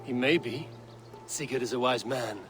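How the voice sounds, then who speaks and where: A man's voice: medium pitch, normal speed, music in the background, natural voice. A man acting as a bold, blunt Viking raider in a dramatic video game. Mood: questioning, resolute. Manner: blunt, direct.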